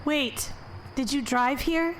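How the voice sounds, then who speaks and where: A woman's voice: sits high, slow, a bit bright, slight background noise. An actress playing a guarded, weary but firm woman in a naturalistic audio drama, with a curt, dry manner. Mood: exasperated, firm.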